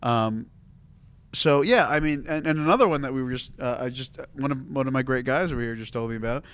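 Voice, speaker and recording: A man hosting a casual group podcast, talking in an animated, enthusiastic way. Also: medium pitch, quick pace, clear, resonant tone. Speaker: podcast host.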